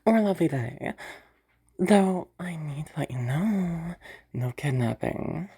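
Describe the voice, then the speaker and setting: A woman's voice: deep voice, animated, measured pace, mellow, breathy edge, heavily acted. A woman voicing a cold, calculating rich sociopath who mutters her schemes to herself with dry laughs, frequent whispers and swearing. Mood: scheming. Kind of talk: audio roleplay monologue.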